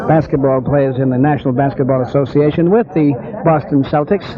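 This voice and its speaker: A man's voice: medium pitch, brisk, over music. A man hosting a 1970s radio sports interview, speaking in effusive, formal phrases with hesitant questions. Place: busy club. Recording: dull vintage radio recording, crowd murmur.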